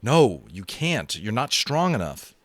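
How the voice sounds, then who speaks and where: A man's voice: mid-range voice, big pitch swings, measured pace, fairly bright tone, dry recording. A man voicing an anxious, earnest schoolboy in an audiobook. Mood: worried. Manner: worried, earnest.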